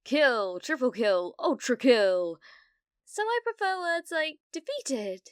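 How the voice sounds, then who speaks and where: A delusional young British gamer girl, acted by a woman for an ASMR roleplay. Her voice is fairly high and very close and dry. She speaks slowly, with a needy, obsessive sweetness that carries menace. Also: sing-song, dry recording, heavily acted. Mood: gleeful. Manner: breathy giggles, slow dreamy delivery with sudden intense bursts. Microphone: close studio-style mic, dry.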